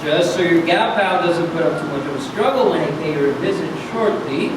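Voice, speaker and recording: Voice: medium pitch, a little fast, natural voice. An actor playing a menacing, drunken vagrant in clown makeup in a staged reading, mock-friendly, taunting and domineering. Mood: sly menace. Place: live public reading in echoey room. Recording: echoey, distant, noisy room.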